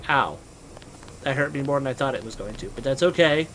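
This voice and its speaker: A man from the United States with a stuffy, congested voice, casually talking through a video game as host and rambling with many pauses and ums. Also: mid-range voice, expressive, heavy background noise. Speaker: let's play host. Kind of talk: casual gameplay commentary.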